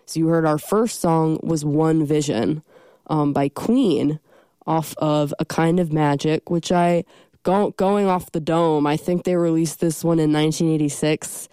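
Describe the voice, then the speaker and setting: A woman's voice: low-pitched, steady tone, measured pace, mellow, clean tone, no room sound, clean studio sound. Stuffy-nosed young woman hosting college radio. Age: young adult. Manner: rambling, self-deprecating, many ums. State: stuffy from a cold. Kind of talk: casual radio chat.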